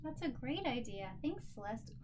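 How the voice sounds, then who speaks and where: A woman with a fairly high voice speaks as a teacher to young children. She explains things simply and encouragingly, in the style of a lesson and read-aloud. There is faint noise behind her. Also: big pitch swings, normal speed, darkish tone. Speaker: special education teacher.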